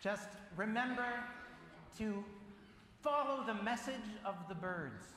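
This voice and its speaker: A man's voice: high voice, expressive, slow pace, slight background noise. A man co-leading a liturgy, giving an unscripted closing blessing to a congregation. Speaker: liturgy co-leader. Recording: echoey hall, loud music behind.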